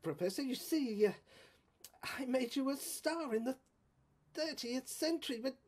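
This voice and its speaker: A woman's voice: high-pitched, lively intonation, unhurried, a little mellow, slightly rough, heavily acted. A woman voicing a playful, boastful, bossy female character in an audio drama, with exclamatory, theatrical delivery. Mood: teasing.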